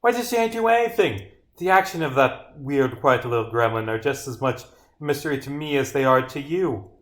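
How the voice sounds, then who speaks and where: A man's voice: mid-range voice, lively intonation, moderate pace, a bit bright, echoey room, close to an everyday voice. Haughty, indignant woman suspect, read by a man. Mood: exasperated, dismissive. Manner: indignant, dismissive. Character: haughty, defiant woman murder suspect in a visual novel.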